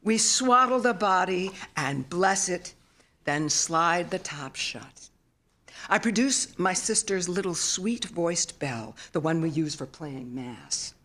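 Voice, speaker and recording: Slow, measured woman reading memoir, lower-pitched. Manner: measured, expressive, solemn storytelling. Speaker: author reading own work. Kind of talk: literary memoir reading at live event. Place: theater stage, live reading.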